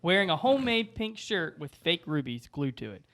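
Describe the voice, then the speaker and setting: A man's voice: a little high, very animated, normal speed, a bit bright. A man narrating the stage directions at a comedy table read.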